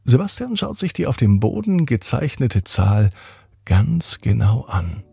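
A man narrating a bedtime story for children in a deliberate, expressive manner with drawn-out pauses. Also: medium pitch, vocal fry, breathy edge. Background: music.

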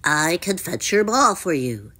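A woman acts out a talking frog from a children's storybook, male, croaky and courtly. He is eager to help but insistent about his bargain, with highly animated pitch and unhurried delivery. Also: heavily acted.

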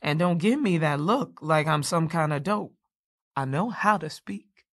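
A woman's voice: deep voice, expressive, unhurried. A woman playing a brash, foul-mouthed, tough American character in an audio play, with salty, combative talk. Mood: indignant. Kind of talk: staged audio play dialogue.

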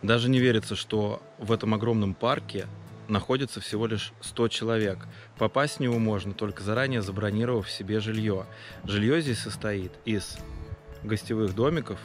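A man in his thirties narrating a travel vlog on location, speaking into a handheld camera. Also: lowish voice. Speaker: travel vlogger.

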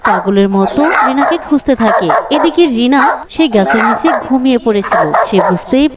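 A woman's voice: fairly high pitch, animated, fairly quick, very noisy. A woman narrating a scripted children's cartoon story.

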